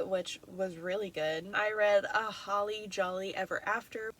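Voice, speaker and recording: A woman's voice: mid-range voice, fairly bright tone, rough recording. A young woman with a congested, nasal voice talks through a long reading list in a loose, chatty way. She trails off with ums and corrects herself as she goes. A faint background hum sits under her voice. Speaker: book vlogger. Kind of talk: casual reading wrap-up.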